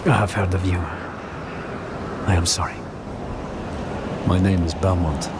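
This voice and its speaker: An actor playing a grieving, devoted warrior knight of a holy brotherhood, earnest and solemn, in a cinematic game. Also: male voice, sits low, fairly even intonation, a little slow, heavy background noise. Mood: guarded. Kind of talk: dramatic cinematic game acting. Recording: loud music behind the voice. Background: loud music.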